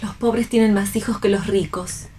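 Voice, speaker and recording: A woman's voice: medium pitch, fairly even intonation, moderate pace, a little mellow, lightly acted. A woman acting a romantic, devoted wife in a radio play, with a formal, poetic way of speaking. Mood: earnest idealism. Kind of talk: staged radio play reading.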